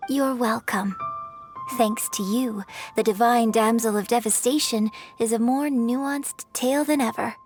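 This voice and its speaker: A woman's voice: a little high, fairly even intonation, a little slow, lightly acted. An actress playing a poised, gracious young female opera singer in a video game, composed and articulate. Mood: serene, kind. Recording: music under the voice.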